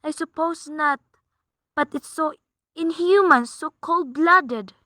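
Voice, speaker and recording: A woman's voice: high-pitched, slow, pushed and bright, no echo, clean studio sound, heavily acted. A woman playing an anxious, demanding bedridden wife on the telephone to police in a radio play, fretful and insistent. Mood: horrified, fretful.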